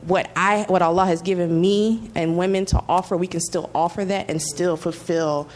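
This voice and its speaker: A woman with a low-pitched voice speaks on a panel at a public address. She gives a considered answer in a halting manner, often repeating words as she works through it. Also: fairly even intonation, darkish tone.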